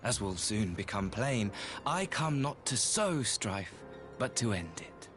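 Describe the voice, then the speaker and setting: An actor playing a courteous, self-important imperial ambassador in a video game, speaking with formal, theatrical politeness. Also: male voice, on the low side, very animated, slow, a bit bright, faint music behind, full character voice. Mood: earnest, stately. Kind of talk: scripted game dialogue.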